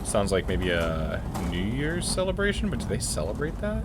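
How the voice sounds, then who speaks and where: A man's voice: fairly low pitch, huge pitch swings, moderate pace, heavy background noise. A man giving casual, reactive commentary while playing a game, with wry, mock-aggrieved asides. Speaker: game streamer, let's play commentator.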